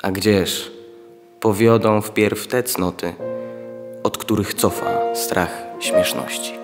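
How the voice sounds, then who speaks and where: A man's voice: fairly low pitch, fairly even intonation, slow pace. A young man playing a solemn poetry reciter in a radio play. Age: young adult. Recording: long reverb, music behind.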